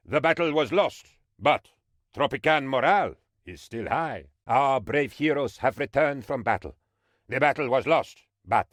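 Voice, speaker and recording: An actor playing a fawning, excitable and bumbling male advisor to a tropical island president in a comic video game, eager to please and theatrical. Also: on the high side, no echo, studio quality.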